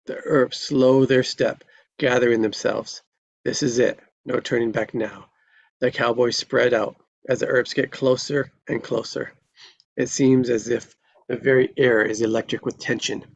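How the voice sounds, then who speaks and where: Gravelly man narrating screenplay directions. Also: mid-range voice, level tone, a little slow, lo-fi sound. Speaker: narrator reading stage directions. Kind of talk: scripted narration at a live table read.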